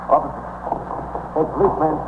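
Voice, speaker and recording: An actor playing an uneasy working-class barfly witness in 1950s radio drama style, slangy, repetitive and defensive. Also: male voice, a little high, a little fast, close to an everyday voice. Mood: urgent, evasive. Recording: noisy, tinny 1950s radio broadcast.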